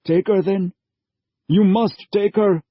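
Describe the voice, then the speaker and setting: A man voicing a frantic father who orders and pleads in a forceful, raised voice. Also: high voice, fairly even intonation, slow, dry recording, pitched above the performer's own voice. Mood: commanding. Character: desperate father of a teenage girl, stern. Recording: dull lo-fi audio.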